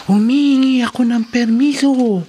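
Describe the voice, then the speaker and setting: A woman's voice: on the high side, fairly even intonation, measured pace, dark tone, breathy edge. A woman playing a brave, knowing boy who guides others in a horror radio drama, earnest and assured. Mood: solemn, incantatory. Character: brave, knowing youth Mark, protective guide against spirits.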